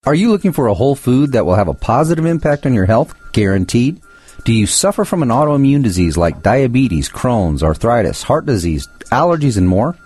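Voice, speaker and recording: A man reading his own company's radio advertisement with earnest persuasion. His voice is warm and a little husky, and his pitch moves in a lively way. Faint music plays behind him. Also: brisk. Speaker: company founder. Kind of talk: scripted radio ad read.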